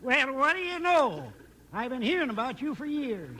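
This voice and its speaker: Old prospector, cantankerous yet content, bright piercing voice, rambling, faintly noisy vintage radio recording. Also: male voice, very high voice, very animated, moderate pace, heavily acted. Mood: cheerful, chatty. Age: older. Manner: folksy, rambling, mishearing, chuckling frontier talk. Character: cheerful old hard-of-hearing prospector hermit, rambling and cantankerous. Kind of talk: 1950s western radio acting.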